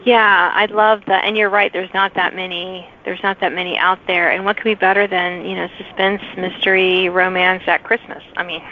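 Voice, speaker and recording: A woman's voice: fairly low pitch, flat delivery, moderate pace, few pauses, a little hiss or hum. A woman hosting a casual author interview in a chatty, agreeable manner. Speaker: podcast host. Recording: phone-like radio-sounding line.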